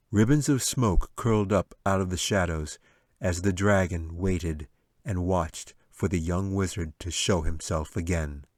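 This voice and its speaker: A man's voice: sits low, a little mellow, no room sound, clean studio sound. A man reading scripted fantasy fiction as the author and narrator of an audiobook.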